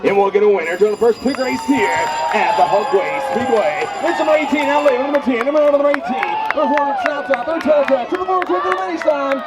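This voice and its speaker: Race announcer guy, high voice, calling it over a pa from far off, big crowd noise. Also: animated, brisk, hardly any pauses. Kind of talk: race-call commentary over a PA. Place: outdoors at a farm festival. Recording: distant PA amid loud outdoor crowd.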